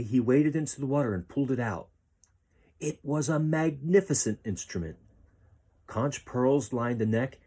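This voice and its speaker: A man reading a children's picture book aloud as a storyteller, with expressive, deliberate phrasing. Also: medium pitch, husky.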